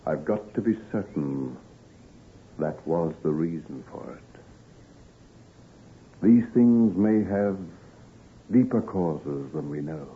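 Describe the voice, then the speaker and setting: A tormented young doctor, worn down before his time and full of self-reproach. His low voice moves gravely and haltingly through old-time radio melodrama. The sound is narrow and muffled, like an old broadcast. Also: lively intonation, a little hiss or hum. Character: anguished, prematurely aged doctor, not yet thirty, secretive, self-reproaching.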